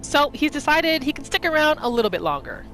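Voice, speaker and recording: Lively woman narrating a game playthrough. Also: sits high, brisk, soft background music. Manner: chatty, informal, storytelling in third person. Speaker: gaming video host. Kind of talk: casual roleplay gameplay narration.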